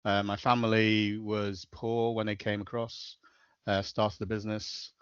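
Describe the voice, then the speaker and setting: A man's voice: fairly low pitch, flat delivery, a little slow, clean tone, no echo, lo-fi sound. A middle-aged man with a Liverpool accent, a podcast guest talking in a level, matter-of-fact way with many hesitations. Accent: Liverpool (Scouse). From Liverpool, England. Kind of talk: casual interview chat.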